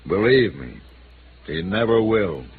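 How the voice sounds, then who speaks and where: A man's voice: fairly low pitch, animated, takes it slowly, over loud music. An actor playing a wealthy, controlling middle-aged father in 1950s radio drama, formal and stern with clipped speech. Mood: scornful. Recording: muffled, noisy old radio recording.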